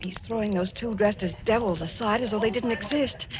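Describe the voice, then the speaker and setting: A woman's voice: mid-range voice, fairly quick, a crowd in the background, natural voice. An actress playing an anxious young Hungarian-American woman in love in a radio drama, pleading and hesitant. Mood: nervous. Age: young adult. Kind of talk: 1940s radio acting. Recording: noisy old radio recording.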